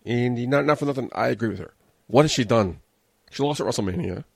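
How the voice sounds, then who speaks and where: A man's voice: medium pitch, vocal fry, no echo. Male American show host, mid-range voice, casual and opinionated commentary with a sardonic edge, dry sound. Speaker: host of a wrestling review show. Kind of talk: casual solo commentary on wrestling shows.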